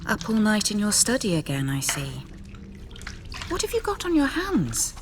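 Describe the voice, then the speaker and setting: A woman acting a devoted young noblewoman bride in a video game, gentle and wistful. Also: medium pitch, wildly swooping pitch, normal speed, noisy background. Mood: concerned.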